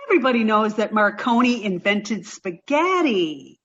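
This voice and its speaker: An actress playing a sweet, naive, dim-witted young woman in an old-time radio sitcom style, earnest and slow on the uptake. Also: medium pitch, very animated, fairly bright tone, dull audio. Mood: bright, oblivious.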